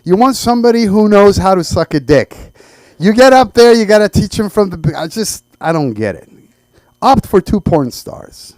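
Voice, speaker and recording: Clear-voiced man from Iran doing stand-up. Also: high voice, very animated, moderate pace, a little mellow, no room sound, low-quality recording. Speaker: stand-up comedian. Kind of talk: stand-up comedy set. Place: small comedy open mic.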